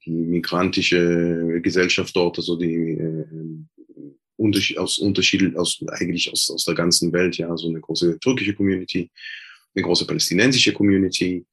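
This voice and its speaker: A man's voice: low voice, steady tone, moderate pace, fairly bright tone, breathy edge, low-quality recording. A man from Israel, a Hebrew speaker, talking hesitantly as an interview guest with many filler sounds and restarts. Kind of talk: casual interview talk.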